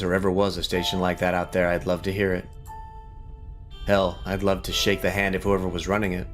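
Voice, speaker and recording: Man playing a weary male road survivor in a story game, low register, wistful and reflective, music underneath. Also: close to an everyday voice. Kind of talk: reading game dialogue aloud.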